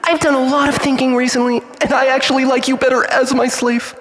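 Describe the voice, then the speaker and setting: A man playing an obsessive, bitter, unhinged jilted lover in a dramatic monologue, volatile and halting, moving between breathy whispers and outbursts. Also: very high-pitched, little pitch movement, fairly bright tone. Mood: gloating, menacing. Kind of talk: dramatic first-person monologue.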